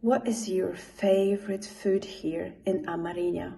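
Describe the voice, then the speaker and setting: A woman from Poland, Polish by first language, who works as an English teacher, answering interview questions with hesitant pauses. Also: lowish voice, fairly even intonation, gravelly, dark tone. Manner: slow, with hesitant pauses and fillers.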